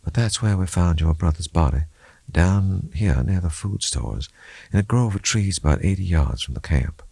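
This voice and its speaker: Troubled female officer read by a man, gentle, halting, slightly lifted pitch, close dry audiobook sound. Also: lively intonation, gravelly, breathy edge, no echo, full character voice. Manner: careful, hesitant, groping for words. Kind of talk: audiobook character acting.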